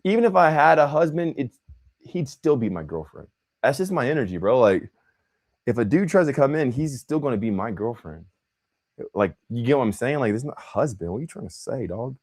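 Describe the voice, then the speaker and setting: Young male host, loose slangy livestream banter, lively pitch swings. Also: a little fast. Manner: animated, slangy, says bro and man a lot. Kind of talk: casual livestream chat.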